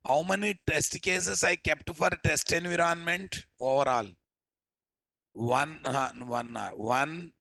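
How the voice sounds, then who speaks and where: Rough-voiced male instructor. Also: fairly high pitch, sharp tone, no room sound, low-quality recording. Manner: scolding, teasing, keeps asking class questions. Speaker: programming instructor. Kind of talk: live coding class lecture.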